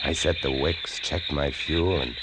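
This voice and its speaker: A man's voice: a bass voice, fairly even intonation, unhurried, heavy background noise. A man acting as a haunted lighthouse keeper, narrating a vintage radio horror tale in the first person, savoring its gruesome details. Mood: eerie calm. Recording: vintage radio drama with music behind.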